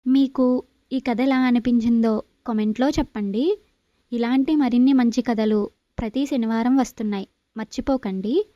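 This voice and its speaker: Mellow, higher-pitched woman host, dull recording. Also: steady tone, moderate pace, no room sound. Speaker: story channel host. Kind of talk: scripted channel intro and outro.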